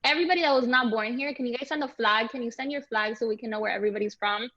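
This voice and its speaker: A woman's voice: fairly high pitch, very fast, fairly bright tone, dry recording, dull audio. A young woman from Cuba, enthusiastic and friendly, presenting on a video call. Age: young adult. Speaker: nonprofit staff member. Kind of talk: webinar presentation.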